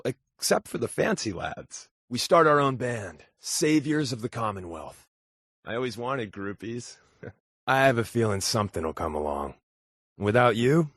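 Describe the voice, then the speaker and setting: A man's voice: mid-range voice, lively intonation, normal speed, fairly bright tone, no room sound, studio quality. A man playing a wry, wisecracking undercover agent in a video game, with a casual, sardonic delivery. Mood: sincere. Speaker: voice actor playing a game companion. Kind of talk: video game character dialogue.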